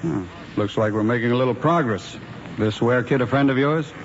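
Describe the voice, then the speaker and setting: An actor playing a firm, skeptical police lieutenant with a dry, probing manner in an old radio drama style. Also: male voice, mid-range voice, brisk, natural voice. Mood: measured, businesslike. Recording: dull, noisy old radio recording.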